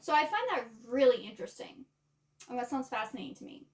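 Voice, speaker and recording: A woman's voice: on the high side, sing-song, fast talker, far from the mic. A woman talking informally about books as a vlogger, in a chatty style with plenty of filler words. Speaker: book vlogger. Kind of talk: casual book chat to camera with some reading aloud of blurbs.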